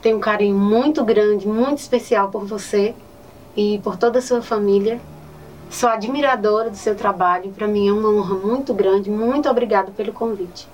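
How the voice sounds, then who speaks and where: A woman's voice: mid-range voice, normal speed, darkish tone. A woman with a Northeastern Brazilian accent, a teacher answering interview questions earnestly and often adding "né". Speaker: teacher and poet, interview guest. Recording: distant mic with faint ambient noise.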